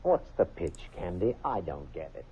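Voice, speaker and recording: A man's voice: low-pitched, sing-song, normal speed, dark tone, a little hiss or hum. An actor playing a dry-witted male photographer sidekick in an old radio drama, droll and slangy. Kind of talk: 1950s radio drama acting. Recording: thin, tinny old radio recording.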